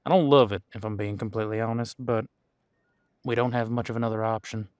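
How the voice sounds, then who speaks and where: A man playing an easygoing veteran police officer in an audio roleplay, chatting casually and candidly with thoughtful pauses. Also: on the low side, fairly even intonation, darkish tone, smooth, dry recording, clean studio sound, lightly acted. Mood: candid, matter-of-fact. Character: easygoing veteran male supernatural police officer showing newcomer around. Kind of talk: audio roleplay acting, one-sided conversation.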